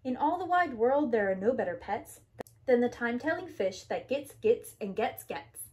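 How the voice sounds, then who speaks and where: A woman's voice: on the high side, animated, moderate pace, darkish tone. A woman preschool teacher reading a rhyming picture book aloud to young children in an emphatic, sing-song rhythm.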